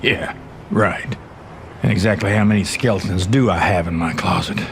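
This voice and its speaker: Politician, lowish voice, blunt, guarded, irritable, screen drama, faint room ambience. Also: male voice, moderate pace, vocal fry, slight background noise. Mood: wary, defiant. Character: wary, irritated male senator resisting pressure.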